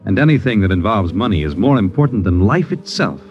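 An actor playing a grave, ominous narrator of a 1950s radio suspense drama, speaking in a deliberate, dramatic way. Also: male voice, on the low side, sing-song, faint music behind. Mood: wry, portentous. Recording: dull old radio broadcast.

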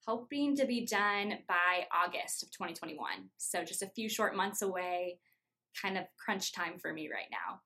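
A woman's voice: fairly low pitch, expressive, measured pace, bright, forceful tone. Earnest young woman with many fillers. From Iowa. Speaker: youth ministry staffer. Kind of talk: casual interview answers.

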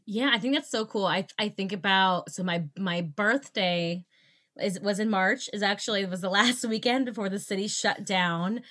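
A woman's voice: mid-range voice, normal speed, sharp tone. A woman hosting an interview, asking friendly, meandering questions with frequent ums and restarts. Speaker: podcast host. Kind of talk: interviewing a guest.

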